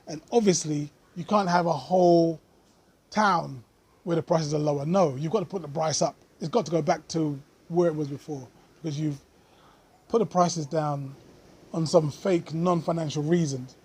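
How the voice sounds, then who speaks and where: Brief: male vlogger, slightly high and husky voice, earnest unscripted commentary. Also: a little mellow. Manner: earnest, thoughtful, with frequent pauses and fillers.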